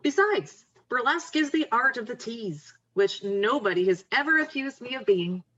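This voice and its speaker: A woman's voice: fairly high pitch, expressive, moderate pace, a bit bright, highs cut off. A woman playing a blunt, confident, wry young friend in a screenplay table read, speaking frankly and coaxingly. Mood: encouraging, wry. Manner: frank, coaxing, plain-spoken.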